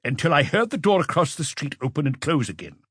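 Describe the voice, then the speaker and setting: A man's voice: on the high side, big pitch swings, fast talker, fairly bright tone, slightly rough, no room sound, clean studio sound, voice pushed up, heavily acted. A man voicing an observant, self-assured witness in an audiobook, answering in a precise, matter-of-fact way. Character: observant male witness, precise and sure of himself.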